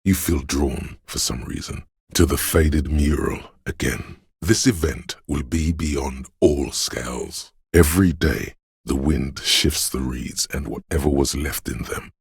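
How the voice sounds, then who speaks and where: Game narrator, mystical inner voice of a city: male, slow, gravelly, husky, solemn and brooding, close dry sound. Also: rumbling low, dry recording, full character voice. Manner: solemn, measured, ominous poetic delivery. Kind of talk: scripted literary game narration.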